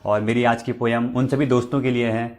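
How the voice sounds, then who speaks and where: A man's voice: mid-range voice, little pitch movement, fairly quick. A man from the Uttarakhand hills, hosting his own channel, reciting a nostalgic poem and repeating each line before finishing it, with spoken asides between verses. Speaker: poet and channel host.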